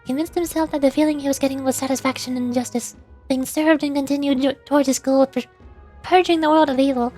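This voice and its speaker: A high-pitched woman game streamer who slips between casual chat and reading lore aloud. She comes across as giggly, easily puzzled and self-deprecating, with game music playing faintly behind her. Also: steady tone, fast talker, mellow.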